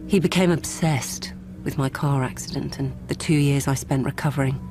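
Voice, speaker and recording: Calm ruthless politician, measured delivery, quietly menacing, radio drama narration, music underneath. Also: female voice, very deep voice. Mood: cool, controlled. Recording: music behind the voice.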